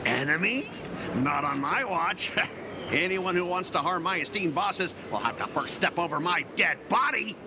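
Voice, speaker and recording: A man's voice: sits high, lively intonation, moderate pace, very noisy. A man voicing a fawning, melodramatic corporate underling in a video game, with an obsequious, bombastic, exaggerated manner. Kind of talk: video game character dialogue. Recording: clear music behind the voice. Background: game music.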